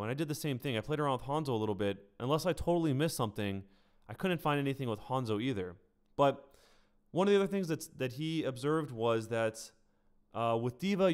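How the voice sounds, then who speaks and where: Clear-voiced man streaming casual game commentary. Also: mid-range voice, a little mellow. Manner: conversational, addresses viewers directly. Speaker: streamer and gaming news host. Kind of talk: casual livestream gaming commentary.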